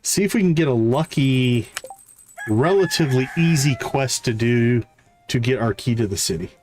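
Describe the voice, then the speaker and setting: A man's voice: mid-range voice, animated, moderate pace, a little mellow, faint music behind. A man giving casual live gameplay commentary as a streamer, muttering short, easygoing remarks to himself. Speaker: game streamer.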